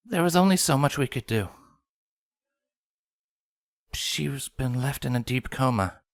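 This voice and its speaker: An actor playing a regal sun princess pony in an audio fan fiction drama. She is solemn, measured and formal, with a protective, sorrowful air and a mid-pitched voice, recorded dry. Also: animated, no echo.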